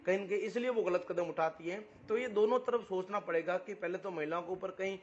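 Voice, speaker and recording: A man's voice: high-pitched, brisk, a little hiss or hum. A man with a Rajasthani accent arguing on a phone call, explaining insistently and repeating his phrases. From Rajasthan, India. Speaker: community activist on a phone call. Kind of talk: argumentative phone conversation. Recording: muffled lo-fi phone call recording.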